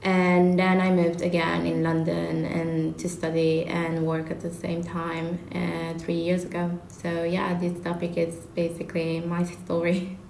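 Low-voiced young Italian-accented woman, echoey room. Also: completely flat delivery, unhurried, gravelly, a little mellow. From Italy. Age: young adult. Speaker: student host. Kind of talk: podcast discussion, semi-prepared presentation. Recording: echoey room with faint noise.

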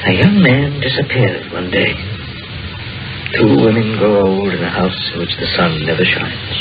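An actor playing a curious male doctor with a macabre imagination, speaking in a measured, musing way in a 1940s radio drama. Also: fairly low pitch, expressive. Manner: measured, musing storyteller's delivery. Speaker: Doctor McGregor, an amateur sleuth. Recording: dull old radio broadcast with music.

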